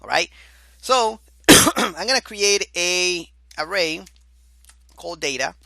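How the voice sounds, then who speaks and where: A man teaching a beginner programming course over his screen, casual and chatty, often saying "all right" and calling the listener "my dear student"; his voice is going hoarse. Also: high voice, expressive, slow pace, bright, forceful tone, dry recording. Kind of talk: screen-recorded coding lecture.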